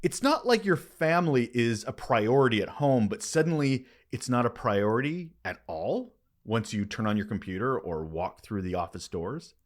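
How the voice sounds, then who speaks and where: Animated male podcast host. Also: mid-range voice, normal speed, hardly any pauses. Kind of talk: scripted podcast monologue, conversational.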